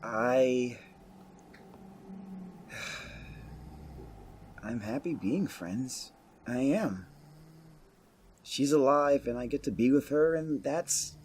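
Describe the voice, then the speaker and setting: A man acts out an anxious young man hopelessly in love. His pitch swings wildly and his pace is slow and hesitant. He is self-mocking and easily flustered, as in a fan-made anime audio drama. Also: husky, heavily acted. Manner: hesitant, expressive, self-deprecating.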